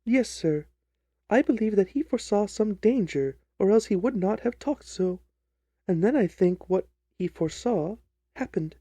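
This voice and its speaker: A man's voice: sits high, animated, moderate pace, mellow, slightly rough, no echo, full character voice. A man voicing an earnest, trusting, distressed young jilted bride in a dramatised story reading. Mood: loyal, troubled. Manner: earnest, wordy, pleading.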